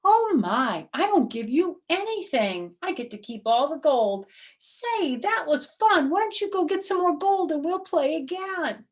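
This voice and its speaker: A woman voicing a wily, cheerful trickster man in a storybook, with an animated, coaxing, mock-innocent manner. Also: high-pitched, unhurried, voice pushed up. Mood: gleeful, coaxing.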